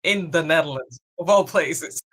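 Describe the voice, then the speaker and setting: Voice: mid-range voice, moderate pace, a bit bright, poor audio. A co-host asking interview questions in a friendly, upbeat way. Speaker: podcast co-host.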